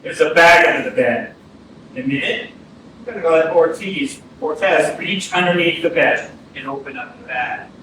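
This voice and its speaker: An actor playing a blunt, seasoned police detective in a staged reading, speaking sternly and deliberately with some swearing. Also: male voice, fairly high pitch, natural voice. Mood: stern, threatening. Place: indoor arts centre stage, staged reading. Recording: echoey theatre from far off, noisy.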